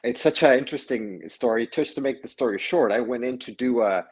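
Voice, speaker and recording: Animated Mexican-born man telling stories. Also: mid-range voice, brisk, slightly rough. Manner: animated storyteller who acts out quoted voices, laughs often. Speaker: actor, interview guest. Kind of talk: casual interview storytelling.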